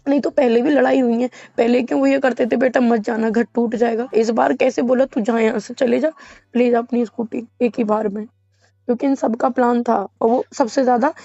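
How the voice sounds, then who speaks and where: A woman's voice: a little high, steady tone, normal speed, mellow, dry recording. A woman in an emotional, casual phone conversation, aggrieved and insistent, often repeating her points. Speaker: caller in a private phone conversation. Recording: dull lo-fi phone call recording, music behind.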